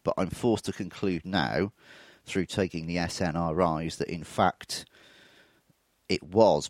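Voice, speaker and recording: A man's voice: deep voice, darkish tone, no room sound, studio quality. A man in his forties hosting a solo podcast, reflective and candid, his voice throaty from a touch of flu. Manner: reflective, candid, self-deprecating. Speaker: podcast host.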